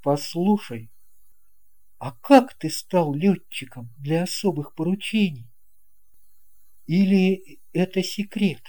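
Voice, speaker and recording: An earnest young boy from an audio fairy tale, voiced by a narrator. He is curious and slightly cautious. The delivery is very soft-toned and slow, with plain, sincere phrasing. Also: mid-range voice, animated.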